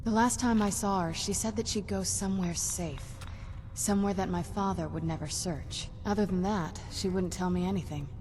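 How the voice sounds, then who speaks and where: A woman playing a young vampire noblewoman in a fantasy game. She sounds earnest, guarded and thoughtful at a medium pitch, with clear ambient noise behind her. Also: normal speed, a little mellow, lightly acted. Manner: conversational, pondering. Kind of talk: naturalistic video game voice acting.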